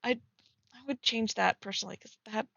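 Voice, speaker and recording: A woman's voice: a little high, moderate pace, gravelly, a bit bright, no room sound, muffled recording. A giggly woman casually reading fan fiction aloud and breaking off to comment on it as she streams.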